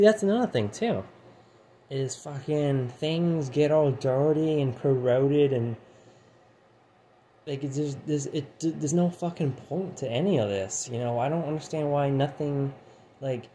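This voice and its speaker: A man with a mellow, darker voice rambles through a casual monologue in his room, his voice starting to go hoarse. He swears freely and keeps checking in with "you know". His phone rests nearby, with a faint heater hum behind him. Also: mid-range voice. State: voice going hoarse. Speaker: channel host. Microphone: phone set down nearby.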